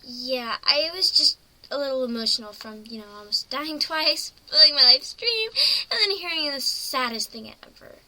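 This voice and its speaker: Woman voicing a high, bright, curious pony. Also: lively intonation, moderate pace, dry recording, exaggerated voice, low-quality recording. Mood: sheepish. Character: curious, emotional female pony, playfully sarcastic.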